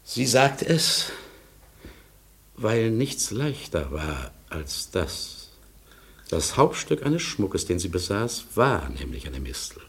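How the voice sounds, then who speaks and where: Courteous, haunted emigrant, husky and expressive. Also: male voice, lowish voice, takes it slowly, full character voice. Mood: wistful. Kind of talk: German radio drama acting.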